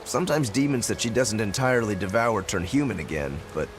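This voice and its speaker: Um ator interpretando um espadachim descontraído e alegre, de fala franca e brincalhona. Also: male voice, lowish voice, big pitch swings, brisk, faint music behind. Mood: casual. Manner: casual, blunt, playful. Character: laid-back cheerful male swordsman. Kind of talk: game dub acting.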